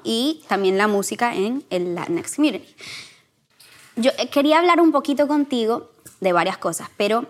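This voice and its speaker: A young woman from Venezuela hosts a relaxed podcast chat, her voice sitting a little high. She reacts warmly and enthusiastically and moves freely between Spanish and English. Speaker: podcast host. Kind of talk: casual bilingual interview chat.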